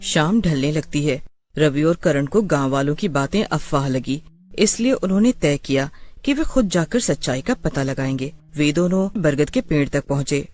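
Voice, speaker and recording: A man's voice: a little high, a little mellow. A man narrating a scripted horror story in a dramatic storytelling style.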